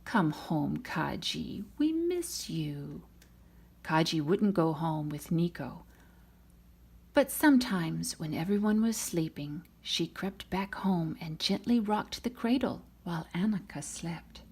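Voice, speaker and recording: A woman reads a children's tale aloud at a very unhurried pace. Her voice is husky and breathy, sitting a little low, and she tells it with dramatic expression. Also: expressive, darkish tone. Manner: dramatic, voices the characters' lines. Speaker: storybook reader.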